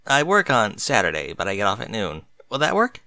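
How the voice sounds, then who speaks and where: A man's voice: on the low side, huge pitch swings, brisk, sharp tone, dry recording, heavily acted. A man voicing a smitten, distracted male unicorn accountant in an offhand, subdued storybook character voice. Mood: bashful. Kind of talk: fan fiction storybook character voice.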